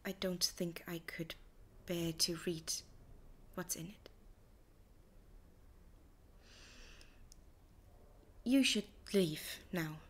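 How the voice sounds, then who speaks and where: A low, soft-voiced hurt wife. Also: female voice, a little hiss or hum. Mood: pained, resigned. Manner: hesitant, halting delivery. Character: hurt, anxious wife of a missing man, soft-spoken. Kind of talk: audio roleplay acting.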